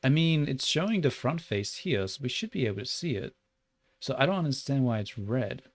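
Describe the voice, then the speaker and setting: A young Australian man livestreaming while he works, chatting loosely with lots of "like" and muttering curses at his software. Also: mid-range voice, big pitch swings, brisk. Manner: rambling and loose, heavy on "like", muttering and swearing at the software. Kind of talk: casual livestream chat while 3D modeling.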